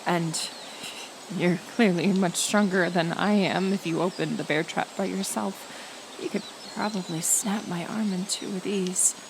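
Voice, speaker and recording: A woman playing a kind, stubborn healer who lives in the woods, speaking haltingly and earnestly to the listener in a roleplay audio drama. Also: on the low side, steady tone. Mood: gentle pleading. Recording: outdoor nature ambience, insect noise. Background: forest ambience with insects.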